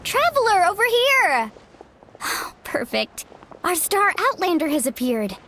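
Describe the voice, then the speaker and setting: Casting: young, admiring game ally, high voice, friendly, some background noise. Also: female voice, sing-song, takes it slowly, a bit bright, heavily acted. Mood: upbeat, teasing. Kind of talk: English video game voice acting.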